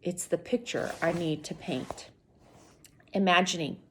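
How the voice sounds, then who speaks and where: A woman's voice: on the low side, unhurried, slight background noise, rough recording. A woman reading a children's novel aloud expressively, as a teacher does for students, speaking directly to young listeners.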